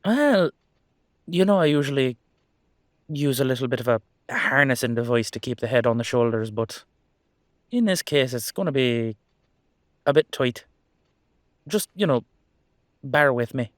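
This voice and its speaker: A man's voice: mid-range voice, expressive, a little slow, pauses often, no echo, studio quality, natural voice. A man playing a cheeky, easygoing immortal headless horseman in a roleplay audio drama, talking in a casual, rambling, reassuring way. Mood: playful, casual.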